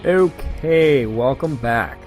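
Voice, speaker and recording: A man's voice: mid-range voice, expressive, slow pace, loud music behind the voice. An American man hosting a podcast, reading an article aloud and breaking off into sarcastic, indignant asides. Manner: sarcastic, indignant asides between read passages. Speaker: podcast host. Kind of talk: casual solo commentary reading an article.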